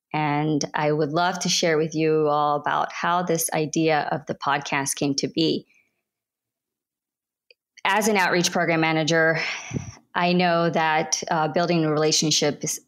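A woman speaks as the host of a podcast. Her voice sits a little low. She introduces and converses, and she is from Ecuador and grew up in North Carolina.

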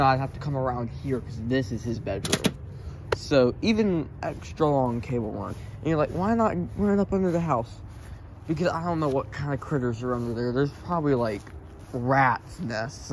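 A man's voice: mid-range voice, animated, normal speed. A young man giving an offhand, rambling house tour in casual vlog style, talking into a handheld phone. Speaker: vlogger. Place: walking through a house. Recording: noisy handheld phone recording with clicks.

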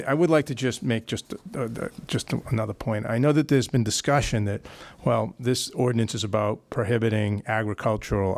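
A middle-aged man making a point at a town council meeting through a desk microphone, halting often and restarting his sentences. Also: lowish voice, no echo. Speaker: company representative. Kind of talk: public meeting remarks.

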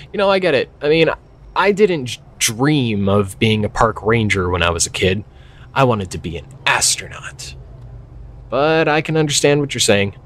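An actor playing a young park ranger in an audio drama, earnest, self-doubting and blunt, speaking in a hesitant, candid way. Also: male voice, mid-range voice, close to an everyday voice. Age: young adult. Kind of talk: naturalistic audio drama dialogue.

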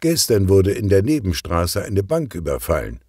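Man voicing an agitated young gym employee, animated. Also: low voice, moderate pace, husky, dry recording, studio quality, pitched above the performer's own voice, exaggerated voice. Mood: anxious, curious. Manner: excited, puffing. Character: agitated young male fitness club employee.

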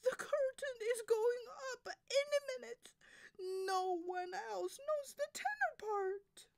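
Storybook leading soprano acted by a woman, female, bold and theatrical, extremely high, swooping emphatic delivery. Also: huge pitch swings, slow, full character voice. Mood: panicked. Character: dramatic, protective female opera soprano, bold and dismissive.

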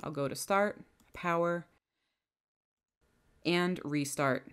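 A woman with a somewhat low voice narrates a scripted software tutorial as the trainer. She walks through each setting in a calm, methodical way, one click at a time. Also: takes it slowly, clean tone. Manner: calm, methodical step-by-step instructions. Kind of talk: scripted screen-recording tutorial. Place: voice-over over a screen recording.

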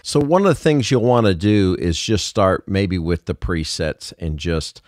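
Low-voiced man giving a software tutorial. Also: expressive, measured pace, music in the background. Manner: informal, explanatory, step by step. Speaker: music production tutorial host. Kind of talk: casual software tutorial narration.

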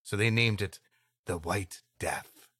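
A man's voice: on the low side, takes it slowly, pushed and bright, slightly rough, no room sound, clean studio sound. A man co-hosting a comedy podcast, moving between reading a story aloud and casual, joking banter.

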